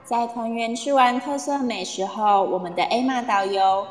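Woman as an echoey, high-voiced story narrator. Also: normal speed, close to an everyday voice. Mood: bright wonder. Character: enthusiastic female travel-story narrator. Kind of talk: scripted radio-play narration. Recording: echoey, reverberant sound.

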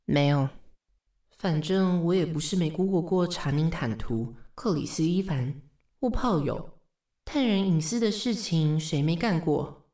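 Sardonic assistant, dry studio sound. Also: female voice, on the low side, mellow, no echo. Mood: wry, weary. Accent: Taiwanese Mandarin. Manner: sarcastic, teasing, weary. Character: sardonic, long-suffering female assistant to a fashion director. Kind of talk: radio drama acting with inner monologue.

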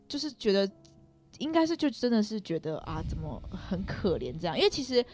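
A young woman speaks reflectively in a Taiwanese Mandarin accent. Her pitch is average for a woman, and she feels her way hesitantly through each thought while soft music plays. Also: very animated, brisk. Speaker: podcast guest. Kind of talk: reflective personal talk.

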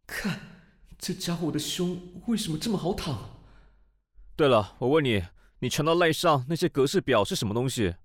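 A man's voice: fairly high pitch, lively intonation, moderate pace, lightly acted. Man acting a blustering, easily flustered cop, swinging wildly. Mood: grumbling contentment. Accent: Taiwanese Mandarin. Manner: blustering, grumbling, sputtering when flustered. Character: proud, foul-mouthed, easily flustered male police officer. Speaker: voice actor. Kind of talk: audio drama acting.

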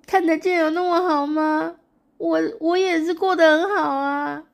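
A tearful young woman in love, put on by a woman telling a story, her voice pitched high and shaking with sobs as she coaxes and begs. She keeps pushing her point through the tears. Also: steady tone, unhurried, creaky, full character voice. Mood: tearful pleading. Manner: high, sobbing, coaxing.

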